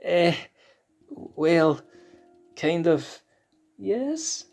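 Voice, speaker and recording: A shy, stammering young lion cub with a high, reedy voice, as a man puts him on. He trips over his words, hesitant and jittery, then turns playful and teasing. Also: sing-song, extremely slow, vocal fry, a little mellow, soft background music, full character voice. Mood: nervous.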